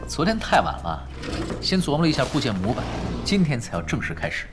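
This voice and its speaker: An actor playing a laid-back, skilled male pro gamer, calm and focused, in a Chinese audio drama. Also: mid-range voice, brisk, music in the background. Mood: explaining.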